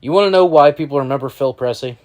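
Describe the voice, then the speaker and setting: A man's voice: medium pitch, quick pace. A man, co-hosting a casual sports podcast and exclaiming often. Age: 30s. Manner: excitable, exclaiming. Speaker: podcast co-host.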